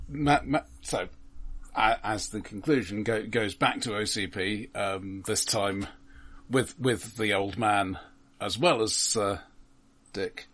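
A man co-hosting a podcast, recapping a film in a halting way with many restarts. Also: fairly low pitch, a bit bright. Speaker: podcast co-host. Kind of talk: casual film discussion.

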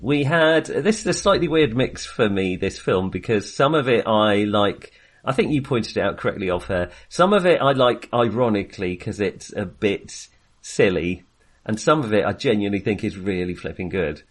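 A man co-hosting a podcast, chatting casually about films in a halting, jokey, self-deprecating way. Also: on the low side, lively intonation. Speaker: podcast co-host. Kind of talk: casual film discussion.

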